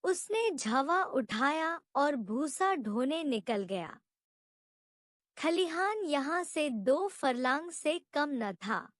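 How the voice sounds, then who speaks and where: A woman narrating a scripted story in a measured, expressive storytelling manner. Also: sits high, no echo, clean studio sound.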